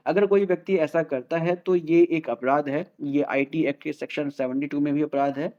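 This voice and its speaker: Higher-pitched Indian man explaining, dull recording. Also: fairly even intonation, moderate pace, darkish tone. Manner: didactic, repeats key points. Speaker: host of a legal explainer channel. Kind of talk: explainer to camera, mixing Hindi and English.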